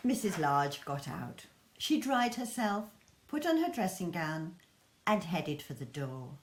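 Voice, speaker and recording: A woman's voice: lowish voice, very animated, slow pace, slight background noise. A woman reading a children's story expressively as a storyteller. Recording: echoey room.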